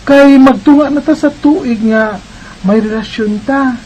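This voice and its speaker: An earnest, high-voiced young woman in love. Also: unhurried, dark tone, husky, low-quality recording. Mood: hopeful, coaxing. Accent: Filipino, Visayan. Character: young single woman in love, earnest and trusting letter-writer. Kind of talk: Bisaya radio drama acting and narration.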